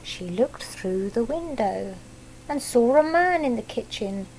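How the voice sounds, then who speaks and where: A woman's voice: fairly high pitch, expressive, a little slow, a little mellow, a little hiss or hum, poor audio. A British woman reading a picture book aloud like a primary teacher, with simple child-directed asides and little tag questions. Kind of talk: children's storytelling with explanatory asides.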